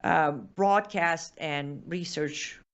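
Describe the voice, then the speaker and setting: A woman's voice: low voice, very slow, dull audio. A woman with a Persian accent, hosting a live show and chatting through viewer comments, with many fillers and restarts.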